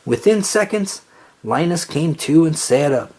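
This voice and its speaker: A storybook reader narrating aloud for children in an expressive read-aloud style. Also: medium pitch, a little slow, a little hiss or hum.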